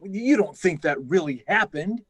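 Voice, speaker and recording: An actor playing a skeptical, protective colleague of a test pilot in a sci-fi radio drama, blustery and placating. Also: male voice, high-pitched, lively intonation, measured pace, fairly bright tone, slightly rough, full character voice. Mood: skeptical, impatient. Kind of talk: live performance of 1950s sci-fi radio drama.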